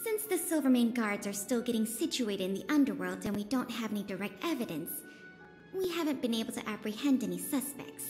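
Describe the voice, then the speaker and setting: Woman acting a high-voiced, eager young museum guide. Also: expressive, fairly quick, darkish tone, in a large hall, faint music behind, natural voice. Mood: businesslike, pleased. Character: eager young female museum official, bookish tour guide. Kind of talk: scripted video game voice acting.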